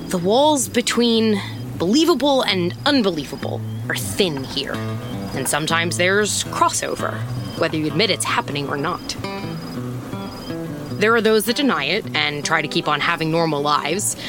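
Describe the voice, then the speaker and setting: Wry, animated female private eye over music. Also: fairly low pitch. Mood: ominous, reflective. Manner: conversational, wry, casually profane. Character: wry, rattled young female small-town private detective. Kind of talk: first-person audio drama narration. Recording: music under the voice.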